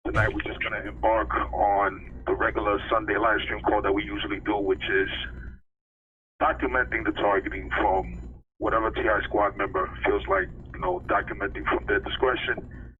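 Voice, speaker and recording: A man's voice: fairly low pitch, flat delivery, normal speed, a little hiss or hum. A man hosting a casual call-in live stream, chatty and informal with his guests and listeners. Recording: tinny phone-line sound.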